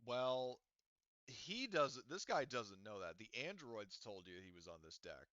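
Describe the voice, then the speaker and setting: A man's voice: mid-range voice, very animated, fairly bright tone, dry recording, muffled recording. A man running a tabletop game as game master, talking casually with lots of restarts and fillers. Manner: halting, many restarts and fillers.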